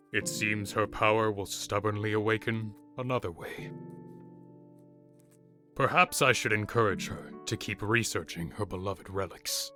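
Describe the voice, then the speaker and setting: A man plays a grave old king reading out his thoughts as an anxious father. His voice is fairly low and slow, solemn and formal, with music clearly behind it. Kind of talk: audio drama monologue.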